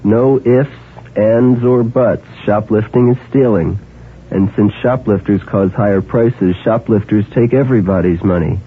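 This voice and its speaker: A man's voice: fairly low pitch, unhurried, slightly rough. A man reading a scripted public service announcement, earnest and persuasive, putting direct questions to the listener. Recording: tinny old AM radio broadcast.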